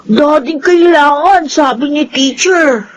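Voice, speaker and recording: A high, slow-talking small child. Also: female voice, rough recording. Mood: pouting, wistful. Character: small schoolchild, whiny and sweet, wanting a daddy. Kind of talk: Filipino audio drama acting.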